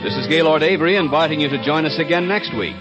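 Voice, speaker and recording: A man announcing in formal, measured 1950s network radio style, as on a vintage broadcast. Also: on the high side, lo-fi sound. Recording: vintage radio broadcast over orchestral music.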